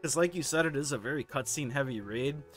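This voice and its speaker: A hoarse man with a scratchy, sore-throated voice talks through gaming forum posts in a casual, candid way. His pitch sits mid-range for a man, and faint music plays underneath. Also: fast talker. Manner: laid-back, candid, occasional swearing. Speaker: gaming video host.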